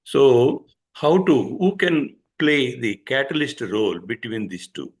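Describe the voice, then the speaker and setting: A man moderating a conference panel, speaking haltingly with many fillers and a habit of repeating "actually". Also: medium pitch, breathy edge.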